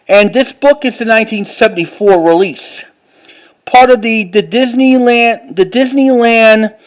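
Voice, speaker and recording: A man giving a casual show-and-tell as a collector, talking informally in a halting, repetitive way. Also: high-pitched, rough recording. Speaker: collector showing items to camera.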